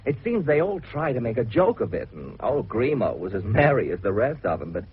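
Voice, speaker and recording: A man's voice: medium pitch, sing-song, fairly quick, phone-quality sound, lightly acted. An actor playing an earnest young British man recounting an eerie mystery in a radio drama, with a suspenseful storytelling manner. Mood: intrigued. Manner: storytelling, suspenseful, hesitant asides. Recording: thin, noisy old radio recording.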